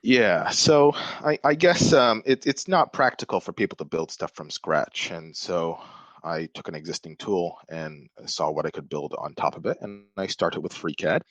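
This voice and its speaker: A man's voice: sits low, lively intonation, normal speed, a bit bright, dry recording. A man from Malaysia, a podcast guest, explaining things thoughtfully in casual talk, often restarting his sentences. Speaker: podcast guest, software developer. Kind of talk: casual interview chat.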